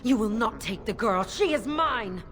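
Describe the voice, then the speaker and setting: A woman's voice: fairly high pitch, expressive, unhurried, a bit bright. A woman voicing a possessive, menacing demon in a fantasy video game. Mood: furious. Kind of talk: video game character acting.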